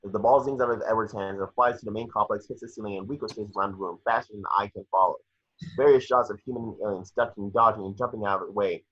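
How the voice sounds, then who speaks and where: A man's voice: lowish voice, steady tone, quick pace, a bit bright, breathy edge. A man narrating scripted action descriptions at an amateur table read, on a slightly dull home recording. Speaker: reader of stage directions.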